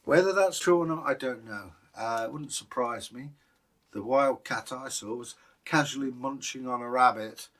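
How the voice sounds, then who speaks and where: A man's voice: mid-range voice, moderate pace, fairly bright tone. A British man co-hosting as a storyteller, telling folktales and chatting in a casual, musing way. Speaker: co-host and storyteller.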